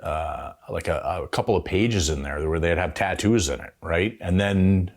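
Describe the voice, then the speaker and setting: A Canadian man in his fifties telling stories casually as an interview guest, in a halting, thoughtful way. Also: sits low. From Ontario, Canada. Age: 50s. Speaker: veteran tattoo artist, interview guest. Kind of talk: casual reminiscing, storytelling.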